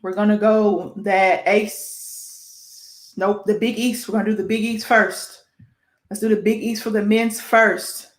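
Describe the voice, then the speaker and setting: A woman's voice: mid-range voice, level tone. Chatty Midwestern woman in an echoey room. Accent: American Midwest. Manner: self-deprecating, chatty, sounds out names haltingly. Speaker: sports show host. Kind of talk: casual sports show presenting, reading award lists.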